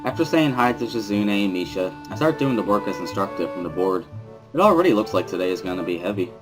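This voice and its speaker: A man's voice: fairly low pitch, animated, quick pace, over music, poor audio. A man reading first-person scripted narration from a visual novel as a dramatic reading.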